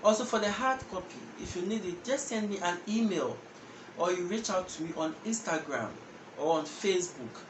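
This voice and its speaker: A man's voice: sits high, expressive, normal speed. A young man with a Ghanaian accent hosting a casual language lesson straight to camera, explaining step by step and repeating words as he goes. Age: young adult. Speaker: language teacher and channel host. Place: indoor room with patterned wall. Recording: echoey room with background noise.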